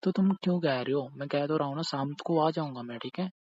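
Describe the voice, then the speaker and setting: Husky man in teasing domestic chat. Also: on the high side, brisk, a little mellow, no room sound. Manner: teasing, insistent, questioning. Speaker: husband. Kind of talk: intimate casual chat, playful bickering. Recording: dull lo-fi call-style recording.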